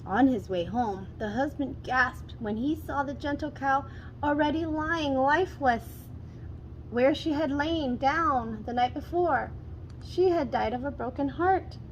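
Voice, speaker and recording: Woman reading a kids folktale out loud, expressive, voice a bit high, little background noise. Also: measured pace, rough recording. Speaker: storyteller. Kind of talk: expressive children's story read-aloud.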